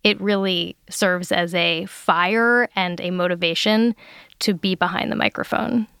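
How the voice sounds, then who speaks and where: A woman's voice: fairly low pitch, steady tone, unhurried, vocal fry, a bit bright, clear, resonant tone, no echo. A woman podcast host in a studio, talking candidly and thoughtfully, laughing easily. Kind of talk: casual studio chat. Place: recording studio. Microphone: studio microphone.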